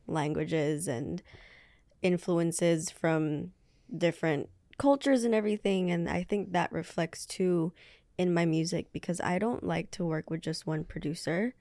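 A woman's voice: on the low side, dry recording, clean studio sound. A young woman from the Philippines, a singer answering interview questions casually and hesitantly, with many fillers as she searches for words. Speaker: guest singer.

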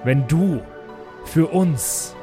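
Man playing a ponderous, slow-talking orator over music. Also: fairly high pitch, dark tone. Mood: solemn persuasion. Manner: ponderous, deliberate, rhetorical. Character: slow, solemn male would-be persuasive orator. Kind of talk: improvised in-character tabletop roleplay speech. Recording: music clearly behind the voice.

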